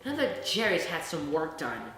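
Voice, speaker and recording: A woman's voice: low voice, sing-song, normal speed, a bit bright. A woman voicing a bossy, self-assured, bumbling handyman in a storybook reading, with emphatic delivery. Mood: confident. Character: bossy, blundering adult male handyman. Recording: very echoey room, music underneath.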